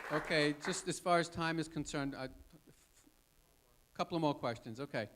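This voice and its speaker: A man in his fifties with a voice a little higher than most men's speaks casually from a stage. His delivery is conversational, as in an informal question session. A live audience can be heard around him. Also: moderate pace, a bit bright, a faint crowd, a little hiss or hum. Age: 50s. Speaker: guest speaker.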